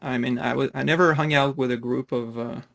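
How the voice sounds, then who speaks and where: A man's voice: medium pitch, fairly even intonation, fairly quick, gravelly, a bit bright. A man from Quebec, a native French speaker with a Quebec French accent, in his thirties, answering interview questions on a call with many fillers and restarts. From Quebec, Canada. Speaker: podcast guest. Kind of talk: casual interview chat.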